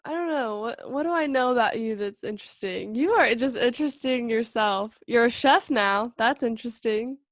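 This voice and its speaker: A woman's voice: fairly high pitch, moderate pace, few pauses, no echo, studio quality. A young woman hosting a casual podcast chat in a friendly way, laughing often. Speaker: podcast host.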